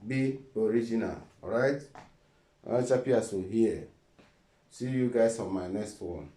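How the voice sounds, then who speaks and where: A man's voice: on the low side, measured pace, dark tone, distant mic. A Nigerian man with an Igbo accent giving a casual motivational talk as a vlogger, preachy, repeating phrases and asking rhetorical questions. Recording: roomy and a bit off-mic.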